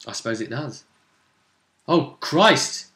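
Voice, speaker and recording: Lively, bright-voiced man in a roomy space. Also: medium pitch, slow pace. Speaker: game master of a tabletop role-playing game. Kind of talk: casual tabletop game chat and narration. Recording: roomy, echoing room sound.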